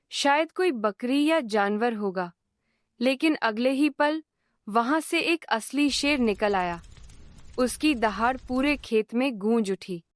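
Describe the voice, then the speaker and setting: Brief: calm woman storybook narrator, slow and dry. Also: a little high, dry recording. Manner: calm, measured.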